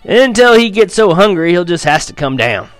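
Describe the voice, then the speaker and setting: A man voicing a cheerful, booming old grandfather in a spoken story, loud and hearty. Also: big pitch swings, moderate pace, dry recording, soft background music.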